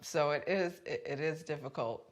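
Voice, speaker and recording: A woman in an interview, animated and self-mocking about her changing body. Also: sits low, moderate pace. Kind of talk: personal reflection.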